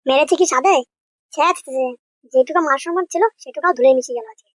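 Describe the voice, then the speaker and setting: A man voicing a harsh, sarcastic, exasperated father in a comedy cartoon, with a pitched-up voice. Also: fast talker, no room sound, clean studio sound. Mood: angry. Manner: scolding, sarcastic, crude. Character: exasperated, harsh father shamed by his naughty son.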